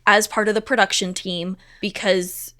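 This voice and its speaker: Earnest, emphatic woman in conversation, mid-pitched. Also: little pitch movement, moderate pace, sharp tone. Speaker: stage manager, interview guest. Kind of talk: conversational interview.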